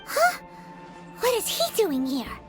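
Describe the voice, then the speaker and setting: A woman voicing a tiny, chatty, cheeky fairy-like girl companion in a video game, with a squeaky, blunt delivery. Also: wildly swooping pitch, slow pace, loud music behind the voice, exaggerated voice. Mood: surprised, blunt. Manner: refers to herself by name, blunt, squeaky.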